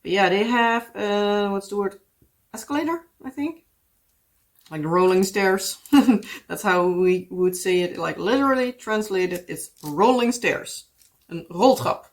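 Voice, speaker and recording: A woman's voice: mid-range voice, unhurried. A woman with a Dutch accent, a native Dutch speaker, chatting casually in a rambling way as a craft vlogger. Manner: rambling, full of "like" and "yeah". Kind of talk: casual chat.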